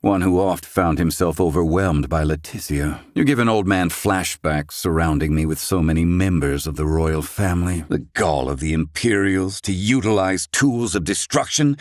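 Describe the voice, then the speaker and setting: Male actor as elderly scholar-healer, video game character, slightly deep, haughty, sardonic, archaic formal diction, dry studio-tight sound. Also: moderate pace, few pauses, a little mellow, no room sound, close to an everyday voice. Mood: sardonic, indignant. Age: older.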